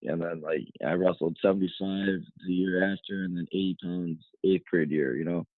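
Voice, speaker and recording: Low flat-voiced young man on a phone line. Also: normal speed, no room sound. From United States. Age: 20s. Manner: rambling, full of "you know" fillers. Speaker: guest, fighter. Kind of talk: casual podcast interview.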